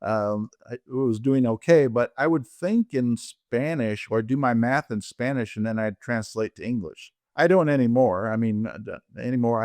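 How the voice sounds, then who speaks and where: A man in his sixties or older who grew up in Colombia, telling his own story conversationally in a halting way. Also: lowish voice, no room sound. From Colombia, raised by American parents. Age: 60s or older. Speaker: interview guest, orthopedic surgeon.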